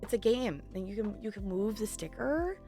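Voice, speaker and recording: A woman's voice: medium pitch, animated, normal speed, mellow, soft background music. A woman chatting casually on a livestream in a playful, giggly, rambling way. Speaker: live game streamer chatting with viewers.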